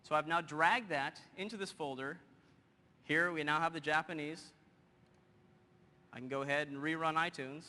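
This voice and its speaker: A man in his thirties giving a lively live software demo on a conference stage, joking with the audience. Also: a little high. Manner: energetic, quick and joking with the audience. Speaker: presenter. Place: large conference hall stage. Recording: hall reverb, faint noise.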